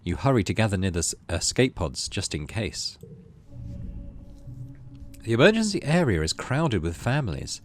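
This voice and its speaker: A man's voice: lowish voice, very animated, moderate pace, long pauses, faint music behind. A man hosting a gaming video, reading story text aloud expressively and adding casual, musing commentary.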